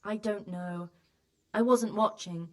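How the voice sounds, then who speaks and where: An actress playing a flustered peasant farm wife in a video game, dodging questions about a bandit raid. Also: female voice, mid-range voice, steady tone, slow, close to an everyday voice. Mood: evasive. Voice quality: evasive, flustered. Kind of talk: video game cutscene acting.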